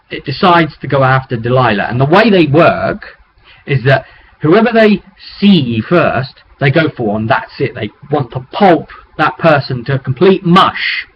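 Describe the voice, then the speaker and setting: Man rambling on a noisy, dull webcam. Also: medium pitch. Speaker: game master recounting a tabletop roleplay session. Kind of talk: casual rambling session recap to camera. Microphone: webcam microphone. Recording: dull webcam audio with clear background noise.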